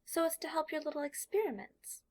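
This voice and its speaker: A woman's voice: high voice, animated, a little fast, pitched above the performer's own voice. A woman voicing a mild, uncomprehending upper-class mother with a dismissive manner in an audiobook story. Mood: puzzled.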